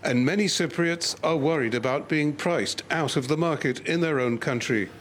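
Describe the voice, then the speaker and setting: Man, news reporter, slightly high pitch, scripted report narration, faint background noise. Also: moderate pace.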